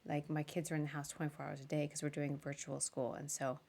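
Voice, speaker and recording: Low-pitched woman podcast host, chatty. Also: monotone, fast talker, vocal fry, a little mellow. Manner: chatty, informal. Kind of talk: casual conversational interviewing.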